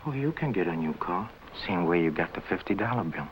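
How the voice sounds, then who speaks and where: An actor playing a sly, menacing blackmailer in 1950 film noir style, with halting, repetitive, insinuating phrasing. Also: male voice, low voice, animated. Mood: smooth, threatening. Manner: halting, repetitive, insinuating speech with simplified grammar. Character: sly, menacing male blackmailer, smugly calling himself a businessman. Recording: noisy old film soundtrack.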